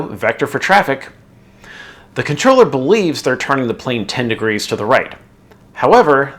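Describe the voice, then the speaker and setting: Male instructor for explainer narration; animated intonation, methodical delivery, clear stress on transitions. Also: mid-range voice. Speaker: instructor, video host.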